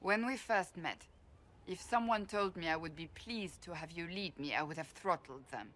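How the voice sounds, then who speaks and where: A woman's voice: lowish voice, normal speed, a bit bright, lightly acted. Earnest, proud woman warrior voiced by an actress. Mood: sincere admiration. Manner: earnest, emphatic, formal. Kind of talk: video game cutscene acting.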